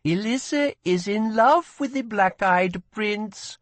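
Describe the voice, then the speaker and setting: A man's voice: high-pitched, animated, slow pace, no room sound, voice pushed up. A man voicing a teasing young woman who speaks blunt, accented English. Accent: foreign-accented English. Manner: mocking, blunt.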